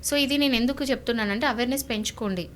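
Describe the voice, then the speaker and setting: A woman with a Telangana Telugu accent gives legal advice in an emphatic, conversational way. Her voice sits fairly high. She repeats points and poses rhetorical questions, with some faint noise in the recording. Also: very fast, fairly bright tone. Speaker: lawyer, guest legal expert.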